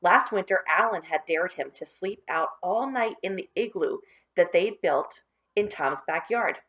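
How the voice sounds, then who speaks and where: A woman storyteller reading a children's book aloud expressively, with deliberate phrasing and stressed key words. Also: on the low side, animated, muffled recording.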